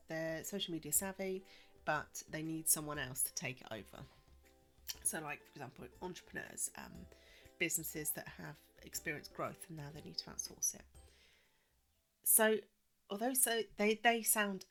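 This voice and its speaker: A woman's voice: fairly low pitch, fairly bright tone. A woman hosting a solo podcast, chatty with frequent ums, a little wheezy from a cold. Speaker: podcast host.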